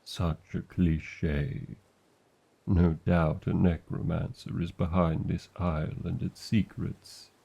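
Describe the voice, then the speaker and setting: Man voicing a deep, dark-toned British dragon. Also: level tone, slow pace, gravelly, slightly rough, highs cut off, heavily acted. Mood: proud, wry. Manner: theatrical, formal old-fashioned phrasing, tender endearments. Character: huge male dragon lover, proud, protective, wryly disdainful. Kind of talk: fantasy ASMR audio roleplay.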